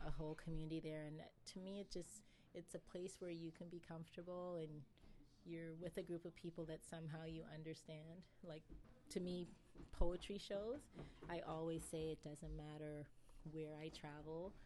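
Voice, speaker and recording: Low, mellow-voiced woman poet. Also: level tone, moderate pace, slight background noise. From England, raised in Nova Scotia. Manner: thoughtful, laughs often, many fillers and restarts. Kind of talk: casual interview answers.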